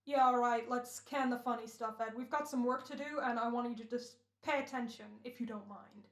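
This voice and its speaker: Woman as bossy director, high-ish, echoey room. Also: fairly even intonation, a little fast, close to an everyday voice. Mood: businesslike, fussy. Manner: bossy, thinking aloud. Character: George, brisk fussy male radio director. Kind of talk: old-time radio drama acting. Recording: echoing room, home recording.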